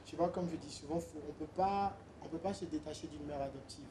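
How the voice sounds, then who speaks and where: A man's voice: fairly high pitch, fairly quick, mellow, slight background noise. A young man from Benin, a musician guest, talking casually in an interview with many hesitant fillers.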